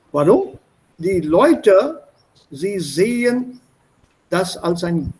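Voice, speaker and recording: A male teacher on a video call with a high voice, talking slowly. He explains German words patiently and switches into English to make them clear. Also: a little mellow, poor audio. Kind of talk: online language class.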